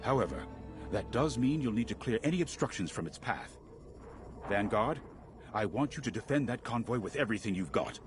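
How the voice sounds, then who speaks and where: An actor playing an earnest military commander in a space video game, measured and authoritative as if briefing pilots. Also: male voice, on the low side, expressive, music in the background, lightly acted. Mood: grave, resolute.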